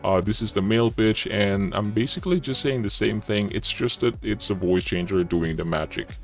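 A man speaking through a male pitch voice changer, casually demonstrating it for a tutorial. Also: moderate pace, faint music behind. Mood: matter-of-fact. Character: pitch-lowered adult male voice.

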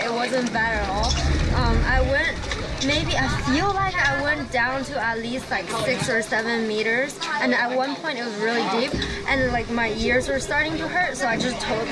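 Young woman vlogging on a beach, high voice, lots of "like", action cam at arm's length, loud crowd behind. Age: 20s. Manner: chatty, lots of "like" fillers. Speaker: travel vlogger. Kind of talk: casual travel vlog. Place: outdoors on a beach and boat by the sea. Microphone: action camera held at arm's length. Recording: loud wind and crowd noise outdoors.

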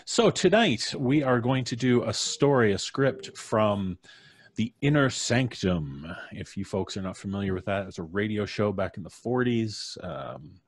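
A man's voice: lowish voice, expressive, a little slow, no room sound. A middle-aged man casually hosting a live podcast stream, speaking into a studio microphone indoors. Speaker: podcast host. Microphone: studio microphone on a boom arm.

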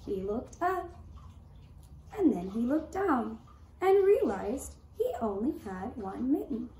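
Female storyteller for a children's puppet show, high voice, very measured with dramatic pauses, roomy sound. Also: very animated, dark tone. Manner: slow, deliberate delivery with dramatic pauses. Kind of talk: children's storytelling, scripted narration. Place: indoor room with draped puppet stage. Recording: roomy echo, faint background noise.

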